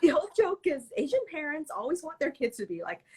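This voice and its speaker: A woman's voice: high-pitched, very animated, fairly quick, darkish tone. A middle-aged woman, a children's book author whose first language is Cantonese, chatting animatedly and laughing often in a live interview. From Canada. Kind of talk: casual live interview chat.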